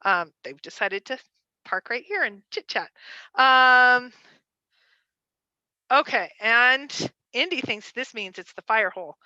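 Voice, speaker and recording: A woman's voice: a little high, slow pace, pauses often, bright, forceful tone, no room sound, lo-fi sound. A woman co-hosting a livestream, chatting casually and frankly, often digressing and reading out viewer comments. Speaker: livestream co-host.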